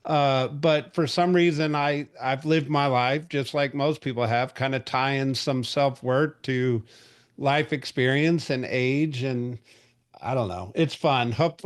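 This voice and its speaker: A man in his forties hosting a real estate industry call, talking casually with lots of fillers and restarts. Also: mid-range voice. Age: 40s. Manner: chatty, rambling, frequent fillers and restarts. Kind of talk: casual market update briefing.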